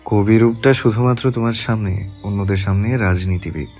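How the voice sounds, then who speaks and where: A man with a Bangladeshi accent playing a self-assured young politician and husband in a measured, intimate way, in a romantic audio story. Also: on the low side, darkish tone, soft background music, lightly acted. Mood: tender. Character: young male politician, tender husband, coolly menacing to rivals.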